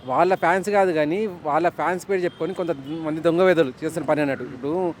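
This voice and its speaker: A man's voice: a little high, quick pace. A man explaining his opinion in a street interview as a member of the public. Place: outdoors in an urban street. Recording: faint outdoor traffic noise.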